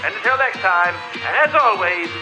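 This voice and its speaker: High-pitched man announcing over prominent music. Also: expressive, moderate pace, low-quality recording. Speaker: podcast announcer. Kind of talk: scripted next-episode teaser.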